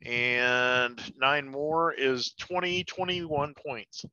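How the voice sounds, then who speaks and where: A man takes part in a tabletop game with an extremely bright, forceful voice. He talks casually and very slowly, and the recording is a little dull. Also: mid-range voice, clean tone, no room sound.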